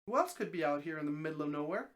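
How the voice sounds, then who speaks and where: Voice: mid-range voice, animated, rapid-fire. A streamer gives a live dramatic reading of a story in a middle-range voice. The narration now and then trips on a word, then goes back over the phrase. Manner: stumbles over words and rereads phrases.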